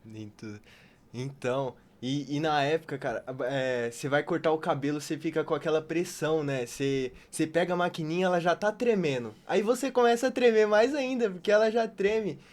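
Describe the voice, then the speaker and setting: A man's voice: a little high, fairly quick, darkish tone. A man taking part in a podcast, speaking in a casual, chatty style. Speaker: podcast participant. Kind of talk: casual chat.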